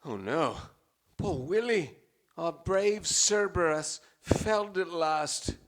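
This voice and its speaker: A declamatory, mournful stage gentleman. His fairly high voice drags out every phrase and swoops grandly with overwrought drama. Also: lively intonation, slow pace. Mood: mourning. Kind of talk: radio play acting.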